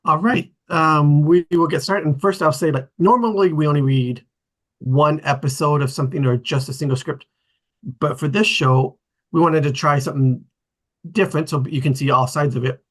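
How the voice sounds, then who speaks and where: A man casually hosting an online group read, speaking haltingly and repeating words as he goes. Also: a little high, steady tone. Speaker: host of an online script table read.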